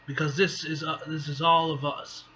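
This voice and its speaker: A man voicing a grimly determined comic book hero bonded to an alien symbiote, low-key and halting. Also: little pitch movement, sharp tone. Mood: grim resolve. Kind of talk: amateur comic book read-aloud acting. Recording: dull lo-fi mic with faint noise.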